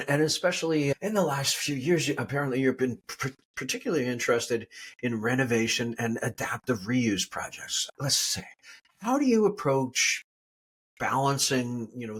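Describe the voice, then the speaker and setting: A man's voice: mid-range voice, fairly bright tone, husky. A middle-aged man hosting a podcast, friendly and joking with his guest. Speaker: podcast host. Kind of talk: podcast interview.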